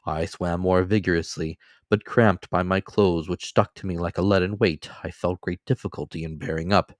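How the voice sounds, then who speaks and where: A man's voice: low-pitched, steady tone, normal speed, no echo, studio quality. A man reading classic fiction as a dramatic, scripted audiobook narration. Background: faint music.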